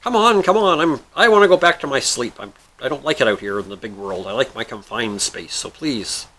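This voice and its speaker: A man's voice: lowish voice, moderate pace, fairly bright tone, slight background noise, pitched below the performer's own voice. Guy doing a grumpy formal genie from a joke story, stately drawn out talk, just wants his sleep. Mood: impatient. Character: slumbering male genie, formal, weary and grudging.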